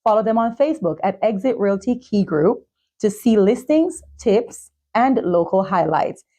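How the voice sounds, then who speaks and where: A woman speaking as the host of a talk show and podcast, with a husky voice. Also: mid-range voice, lively intonation, moderate pace, warm tone. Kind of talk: scripted intro and sponsor reads, then supportive interviewing.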